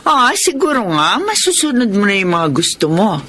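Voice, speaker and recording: An actress with a Filipino accent playing an inquisitive, concerned older-sister figure in a radio drama. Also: female voice, medium pitch, sing-song, moderate pace, a bit bright, slight background noise. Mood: probing, concerned.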